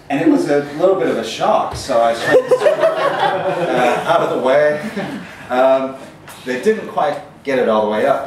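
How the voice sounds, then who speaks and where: A man in his thirties from Northern Ireland with an American accent, telling travel stories in a rambling, um-filled lecture with self-deprecating humor. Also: mid-range voice. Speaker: poet giving a talk. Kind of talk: casual storytelling lecture. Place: small gallery room, indoors. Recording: echoey room from far off, faint noise.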